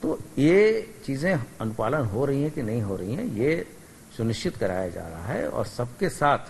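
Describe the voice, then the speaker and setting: A man giving a formal official statement in halting, bureaucratic phrasing, recorded in a reverberant space. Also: medium pitch, sing-song, vocal fry, darkish tone. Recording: reverberant hall, faint background noise.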